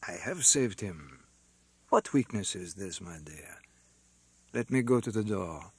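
A gentle older father speaking softly and slowly to calm his daughter, as a male reader puts him on. The voice is slightly husky, and the recording is dry. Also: deep voice, no room sound, voice pushed down, full character voice. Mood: soothing.

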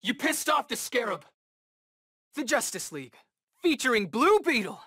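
An actor playing a cocky, eager young rookie superhero in a video game, bantering in casual, wisecracking slang. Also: male voice, very high voice, big pitch swings, normal speed, sharp tone, breathy edge, exaggerated voice. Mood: confident, taunting. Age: young adult.

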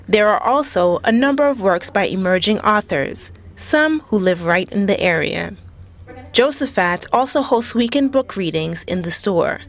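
A woman reporter reading a scripted narration for a local news feature. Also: medium pitch.

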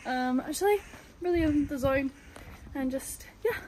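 A woman's voice: sits high, normal speed, background noise. Chatty English woman vlogging. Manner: chatty, rambling, many fillers. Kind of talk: casual vlog chat.

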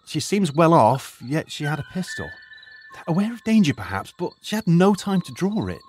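Older professor-teacher type, lively rise and fall, calm explaining tone, for an audio drama. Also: male voice, on the high side, normal speed, slight background noise. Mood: measured. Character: retired archaeologist turned high school teacher, lecturing and cautious.

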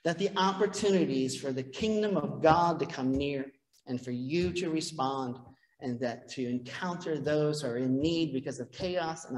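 A middle-aged priest preaches in a relaxed, chatty way, slipping in self-deprecating asides. His voice sits a bit high for a man. The sanctuary adds a long reverb behind him. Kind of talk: sermon. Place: church sanctuary, behind a pulpit.

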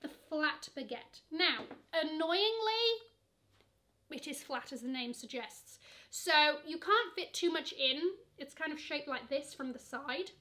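Young girl doing a shopping vlog, sassy and dramatic, high voice. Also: expressive, unhurried, sharp tone. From England. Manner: sassy, gushing, calls bags "she". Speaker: fashion vlogger. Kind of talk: chatty online shopping commentary. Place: bedroom with shelves of shoes.

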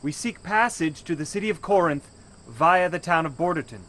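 An actor playing an earnest young river-dweller guide in an audio drama, speaking formally. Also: male voice, on the high side, lively intonation, normal speed, fairly bright tone, slight background noise. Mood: earnest, pleading. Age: young adult.

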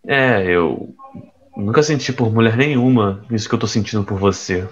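A man's voice: fairly low pitch, normal speed, dull audio, lightly acted. A man playing a troubled, restless boyfriend in an audio drama, speaking hesitantly and evasively. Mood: earnest, restless. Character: troubled, restless man confiding in his girlfriend.

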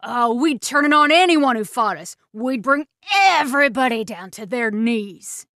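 Woman acting intense, high-voiced, power-hungry survivor soldier. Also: animated, no room sound, studio quality. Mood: fervent, megalomaniac. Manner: intense, halting, swinging from hushed to fervent. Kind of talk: radio drama acting.

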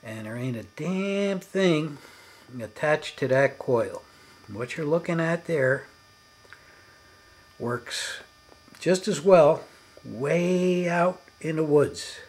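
Slow-talking man with a husky voice. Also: on the high side, animated. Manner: halting, broken phrases with emphatic stresses. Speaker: hobbyist demonstrating his electronics experiments. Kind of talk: unscripted demonstration narration.

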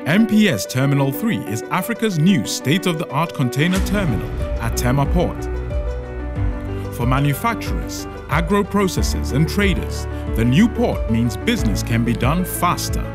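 A man voices a polished promotional ad, his pitch a little raised and lively in its contour. Loud music plays beneath him throughout. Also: moderate pace. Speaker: advertisement narrator. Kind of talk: scripted ad voice-over.

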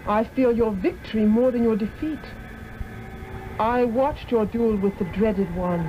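A woman's voice: fairly high pitch, unhurried, a little hiss or hum, lightly acted. An actress playing a noble, enslaved woman from another world in a 1960s radio drama, speaking in a formal, grave manner. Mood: solemn warning. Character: noble otherworldly enslaved woman, solemn and warning. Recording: muffled old radio recording with music.

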